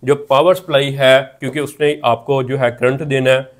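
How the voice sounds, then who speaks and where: A man's voice: medium pitch, level tone, normal speed. Pakistani man explaining step by step. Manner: repetitive, methodical explaining. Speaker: technical instructor. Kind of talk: instructional tutorial, explaining step by step. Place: indoor room at a whiteboard.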